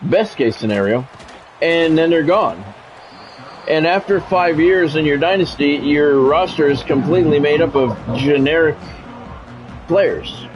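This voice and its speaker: A man's voice: a little high, unhurried. A man giving casual, rambling, conversational commentary as he hosts a football video game stream. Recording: dull lo-fi audio with music behind.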